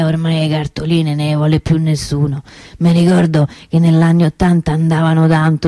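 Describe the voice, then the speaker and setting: An actress playing a middle-aged working-class Roman souvenir seller in a theatrical monologue, rambling and confiding in a colloquial, indignant way. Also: female voice, low-pitched, mellow, no room sound, studio quality, close to an everyday voice. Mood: rueful, confiding. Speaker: stage and radio actress.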